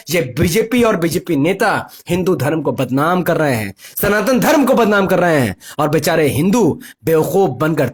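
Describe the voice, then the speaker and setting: A man giving an impassioned political monologue to viewers, emphatic and confrontational, leaning on repetition and rhetorical questions. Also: sits high. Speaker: political commentator and video host.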